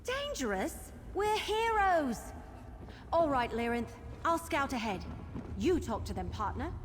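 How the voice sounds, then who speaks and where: A bold young wood elf adventurer in a fantasy game. Her voice is high and very animated, full of excitable eagerness. It rings in a long reverb with some noise behind it. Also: a little slow. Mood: excited, confident.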